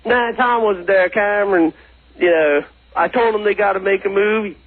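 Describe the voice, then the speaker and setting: A man's voice: high voice, moderate pace, no room sound. A man in his twenties calling in to a radio show, rambling through casual anecdotes in slangy slacker talk over the phone. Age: 20s. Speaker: phone-in recurring guest. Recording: muffled phone call on radio.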